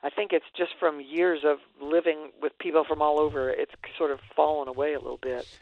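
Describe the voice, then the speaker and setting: A middle-aged woman from West Virginia, a guest singer speaking relaxed and reflective over a phone line. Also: deep voice, a little fast. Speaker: guest singer on a radio show. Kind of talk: casual radio interview.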